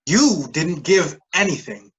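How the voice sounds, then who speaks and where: An actress in a staged reading playing an earnest true believer in a scheme. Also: female voice, fairly low pitch, lively intonation, slow pace.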